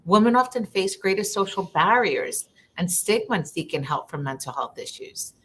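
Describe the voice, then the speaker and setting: A woman's voice: medium pitch, expressive, moderate pace, fairly bright tone, husky, poor audio. A woman from Ecuador, a social worker, talking earnestly in a live conversation and often repeating words while she thinks.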